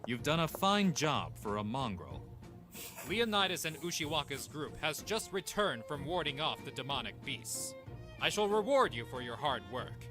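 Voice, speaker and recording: A man's voice: a little high, big pitch swings, moderate pace, pushed and bright, faint music behind. A man playing an imperious, condescending king in a comic dub, with a pompous, commanding manner. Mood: haughty, commanding.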